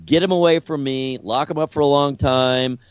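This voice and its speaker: A man co-hosting a talk podcast, speaking earnestly and haltingly, often repeating words as he works out his point. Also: mid-range voice, steady tone, smooth, dry recording, lo-fi sound. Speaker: podcast co-host. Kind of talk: casual discussion.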